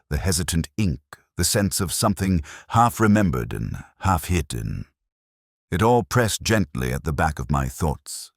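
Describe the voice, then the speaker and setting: Male narrator, extremely low pitch, dry close sound; measured, reflective detective storytelling. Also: dry recording. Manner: measured, reflective literary delivery. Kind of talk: scripted first-person detective story narration.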